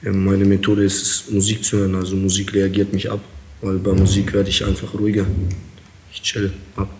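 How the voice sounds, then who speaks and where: A man's voice: sits low, monotone, brisk, a little mellow, slight background noise. A young man from Kazakhstan answering interview questions as an inmate, hesitant with many fillers. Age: young adult.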